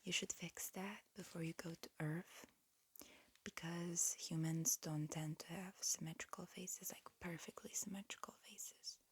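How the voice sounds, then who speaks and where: A woman voicing a polite alien examiner in human guise, whispering softly and hesitantly in an ASMR roleplay. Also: fairly low pitch, fairly even intonation, a little slow, slightly rough. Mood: gently clinical. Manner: soft, hesitant, politely procedural.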